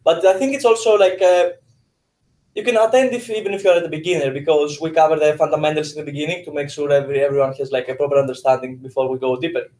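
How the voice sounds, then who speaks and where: A man's voice: a little high, little pitch movement, quick pace, darkish tone. A man from Greece with a Greek accent, a workshop instructor answering interview questions.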